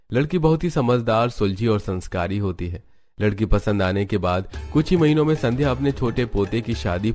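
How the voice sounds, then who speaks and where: A man reading scripted narration for an animated family story. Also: on the low side.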